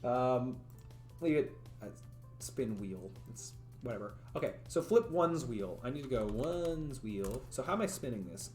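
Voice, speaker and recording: Male streamer, casual think-aloud coder, slightly raspy voice, roomy echo. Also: mid-range voice, darkish tone. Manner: thinks aloud, rambling, chats with viewers, frequent laughs. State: voice getting raspy from long talking. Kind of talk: casual livestream coding commentary. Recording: roomy echo, faint music.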